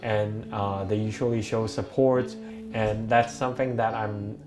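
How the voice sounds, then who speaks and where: A man's voice: fairly low pitch, little pitch movement, unhurried, music in the background. A young man from Hong Kong whose first language is Cantonese, speaking thoughtfully with many fillers in an interview. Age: young adult. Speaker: interviewee, bakery worker and student. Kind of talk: documentary interview. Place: bakery kitchen indoors.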